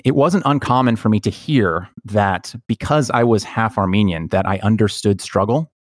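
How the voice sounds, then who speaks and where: A man hosting a podcast, telling personal stories in an earnest, reflective, halting way. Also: fairly low pitch, dry recording, clean studio sound. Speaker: podcast host. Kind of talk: personal storytelling in conversation.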